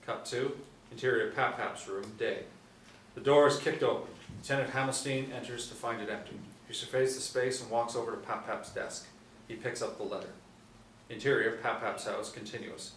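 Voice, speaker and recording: A man's voice: mid-range voice, steady tone, moderate pace, a bit bright. A man reading scripted stage directions as narrator at a table read. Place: indoor room, seated reading panel. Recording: roomy, distant mic, faint noise.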